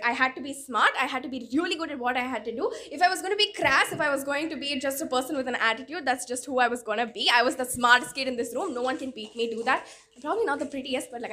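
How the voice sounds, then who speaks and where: A woman's voice: high-pitched, fairly bright tone. A young woman from India candidly telling a personal story, self-mocking and laughing often. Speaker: storyteller reading her own letter.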